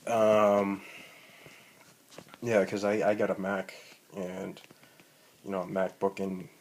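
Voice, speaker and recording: Man, 30s, low voice, flat delivery, rambling and cursing, tablet mic. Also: takes it slowly, a little hiss or hum, rough recording. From Boston, Massachusetts. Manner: rambling, profane, full of ums and restarts. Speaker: amateur wrestler. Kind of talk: rambling self-recorded vlog. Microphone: tablet built-in mic.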